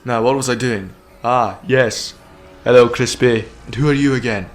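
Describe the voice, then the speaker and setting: A man's voice: medium pitch, normal speed, faint music behind, lightly acted. A man playing a befuddled, flippant, eccentric hero in a fan audio drama, scatty and quipping. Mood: dazed.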